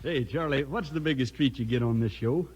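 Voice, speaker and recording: A man's voice: mid-range voice, brisk, over loud music, rough recording. A man with an American accent doing folksy, enthusiastic sponsor banter in a 1950s radio style. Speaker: radio commercial pitchman.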